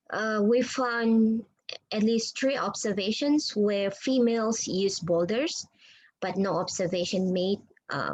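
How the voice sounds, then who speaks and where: Woman researcher doing q&a at an online science conference, medium pitch voice. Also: darkish tone, poor audio. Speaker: researcher answering audience questions. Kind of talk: spoken question-and-answer at an online symposium.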